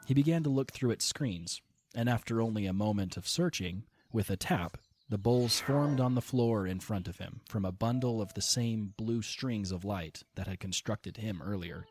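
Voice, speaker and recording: A man narrating an audio drama in a steady, measured reading style. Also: lowish voice, breathy edge, soft background music.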